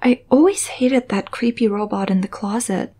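A woman's voice: mid-range voice, moderate pace, mellow, a little hiss or hum, close to an everyday voice. A young woman acting a worried, practical, easily exasperated character in a comic dub. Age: young adult.

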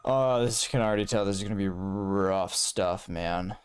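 A man's voice: low voice, moderate pace, noisy background. A man giving casual gameplay commentary in a slangy, joking, reactive manner. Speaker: gamer, let's player. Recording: game music behind. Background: video game music.

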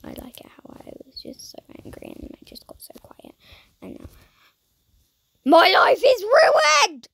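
A woman giving playful, dramatic commentary over a video game, her voice croaky and strained. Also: extremely high pitch, very animated, unhurried, no room sound. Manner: dramatic, silly, swings between shouting and whispering. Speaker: gaming YouTuber.

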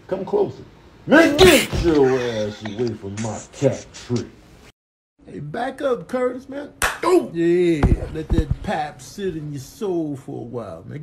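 A man's voice: a little high, big pitch swings, measured pace, pauses often, noisy background. A man voicing irritable, scrappy house pets in a comic animal voiceover, slangy and exasperated. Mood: irritated, combative.

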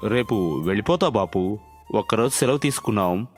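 A man voicing a self-interested, dismissive city-dwelling elder son in a story, curt and low-key. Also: animated, normal speed. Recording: music under the voice.

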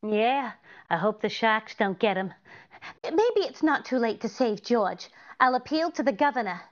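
Slightly dull audio carries a woman acting a scatterbrained, sweetly earnest wife from old radio comedy. The voice sits fairly high and swings widely, in the manner of 1940s broadcast acting. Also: big pitch swings, measured pace. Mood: worried, naive.

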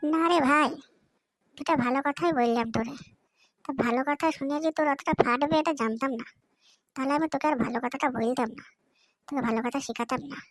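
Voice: sits high, a little fast, long pauses, close to an everyday voice. A performer voicing a preachy, easily hurt cartoon schoolboy sidekick who talks in whiny slang. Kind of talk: comedy cartoon dialogue.

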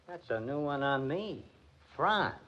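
An actor playing a blunt, suspicious police lieutenant in a 1940s radio drama, with an American accent and a colloquial hardboiled manner. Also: male voice, fairly high pitch, animated, takes it slowly. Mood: dry, probing. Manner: hardboiled, colloquial, hesitant interjections. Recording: muffled vintage 1940s radio recording.

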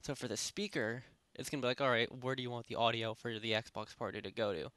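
A young man casually talking through a software tutorial at his home computer, rambling with lots of fillers and restarts. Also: mid-range voice, steady tone, quick pace, clean tone, no room sound. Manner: rambling, many fillers and self-corrections. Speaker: tutorial host. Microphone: desktop microphone at a PC.

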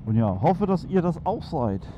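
A man talks loosely and eagerly while riding a motorcycle through city traffic, dropping plenty of fillers. His voice comes through a dull, muffled helmet headset. Wind, engine and street traffic roar clearly behind him. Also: on the high side, sing-song, moderate pace. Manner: chatty, enthusiastic, many fillers. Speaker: motovlog host. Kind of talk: casual chat while riding.

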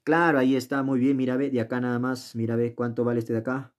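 A man's voice: medium pitch, flat delivery, fast. A man tutoring geometry in a casual, chatty way, often asking the viewer questions and talking himself through the steps. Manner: chatty, asks the viewer questions, talks to himself while solving. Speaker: math tutor. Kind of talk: casual worked-problem lesson. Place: indoors at a desk, filming the worksheet from above.

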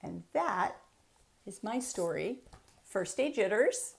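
A middle-aged American woman, a children's author, reading aloud expressively and deliberately for a story time, talking directly to young listeners. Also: mid-range voice, animated, vocal fry. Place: small room at home, indoors.

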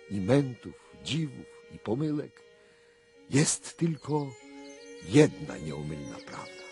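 Wry, assured male investigator for radio drama: deliberate, slow, melodically varied delivery. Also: mid-range voice. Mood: solemn, pleased. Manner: theatrical, deliberate phrasing with dramatic pauses. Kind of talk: 1990s Polish radio drama acting.

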